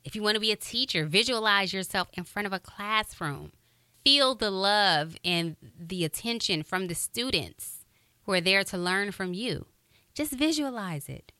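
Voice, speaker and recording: Woman podcast host, high voice, bright tone, dry close sound. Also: clean tone, no echo. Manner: upbeat, encouraging. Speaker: podcast host and radio broadcaster. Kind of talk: motivational podcast talk.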